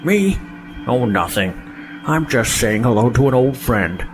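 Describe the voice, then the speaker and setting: A man's voice: mid-range voice, expressive, unhurried, lightly acted. Slippery trader voiced by a man, breezy, glib, falsely polite, sci-fi audio drama, music underneath. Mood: glib, self-serving. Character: slippery mercenary male trader, breezy and self-serving.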